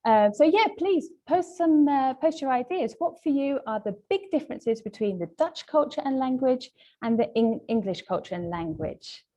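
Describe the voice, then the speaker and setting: A woman presenting a webinar lesson as a language trainer, explaining points in a polite, measured, semi-scripted way. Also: high voice, animated, dark tone.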